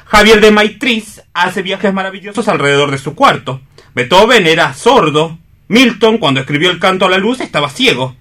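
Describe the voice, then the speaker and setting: Eloquent, high-voiced worldly newspaperman. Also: animated, moderate pace, bright, forceful tone, exaggerated voice. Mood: persuasive. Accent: Spanish (Spain). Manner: emphatic, theatrical, urging. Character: eloquent newspaperman, worldly, persuasive male mentor. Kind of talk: radio theatre reading.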